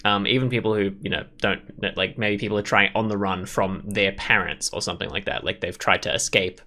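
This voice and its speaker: A man hosts a visual novel playthrough in a fairly low, bright voice. He reads the text aloud and drifts into rambling, opinionated commentary. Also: a little fast. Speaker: gameplay video host.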